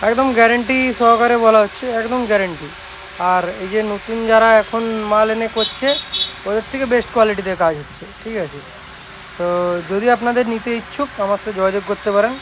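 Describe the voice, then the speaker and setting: A man's voice: high-pitched, fast. A young man with a West Bengal Bengali accent, presenting products casually in an informal, repetitive, reassuring way. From West Bengal, India. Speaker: speaker repair technician and channel host. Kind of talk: casual product showcase vlog. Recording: loud steady background noise, amateur recording.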